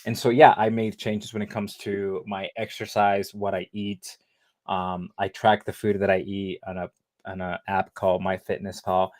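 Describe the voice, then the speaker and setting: A man's voice: sits low, little pitch movement, moderate pace, a little mellow. A man in his thirties talking casually as an interview guest, rambling with many fillers and restarts. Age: 30s. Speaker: interview guest, living kidney donor.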